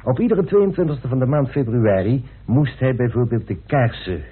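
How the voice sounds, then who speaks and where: An actor playing a courteous, grave gentleman telling of a family mystery in a radio play. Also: mid-range voice, lively intonation, normal speed, breathy edge. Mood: solemn, confiding. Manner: polite, solemn, storytelling. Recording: muffled, band-limited old radio recording.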